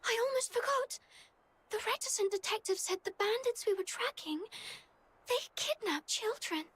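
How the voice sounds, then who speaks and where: Tiny, very high-voiced eager girl. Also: animated, normal speed, a bit bright. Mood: eager, earnest. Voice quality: girlish. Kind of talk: video game voice acting. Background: background music.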